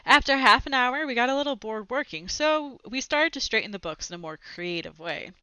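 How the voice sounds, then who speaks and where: Young female storyteller for children's read-aloud, bright and animated, dry headset sound. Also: on the high side, a little fast, no echo, lo-fi sound. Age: young adult. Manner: animated, clearly phrased storytelling. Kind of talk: expressive children's book read-aloud with brief asides. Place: small home room with bookshelves, indoors. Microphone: headset microphone.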